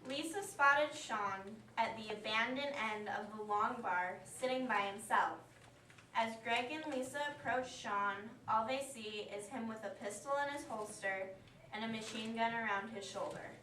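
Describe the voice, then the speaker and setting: A woman's voice: fairly high pitch, measured pace, bright, forceful tone. A woman reading scripted story narration aloud for an amateur puppet show. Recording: echoey room, distant mic, faint noise.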